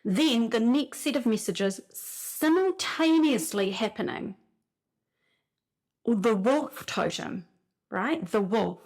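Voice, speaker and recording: A woman with a New Zealand accent gives a casual spiritual talk, telling stories in an animated way and often saying "like". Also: a little high, slow. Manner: animated storytelling, frequent "like" fillers. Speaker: spiritual speaker.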